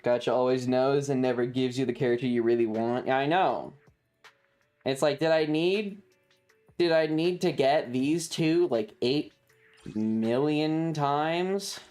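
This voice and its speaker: A livestreamer chatting casually while gaming, rambling and halting, with a wry, sarcastic manner. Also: mid-range voice, a little mellow. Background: music.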